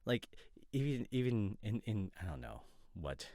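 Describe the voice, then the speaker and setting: A man playing an opinionated, nitpicking boyfriend in a casual roleplay audio drama, talking in pedantic, exasperated, halting asides. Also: fairly low pitch, sing-song, mellow, no echo, studio quality. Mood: exasperated ranting. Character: opinionated, nitpicking boyfriend, a professional costume designer.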